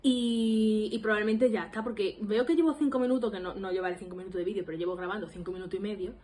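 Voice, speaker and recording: A woman's voice: medium pitch, fairly even intonation, fast, a little mellow. A woman in her twenties from Spain with an Andalusian accent, rambling casually to her camera as she introduces her language vlog. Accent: Andalusian Spanish. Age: 20s. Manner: rambling and self-deprecating, lots of laughs and fillers. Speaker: language learning vlogger.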